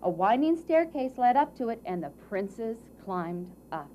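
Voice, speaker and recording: A woman narrating a scripted fairy tale for a puppet show in a theatrical way, with dramatic pauses and emphasis. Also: on the high side, very animated, mellow. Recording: muffled, faint background noise.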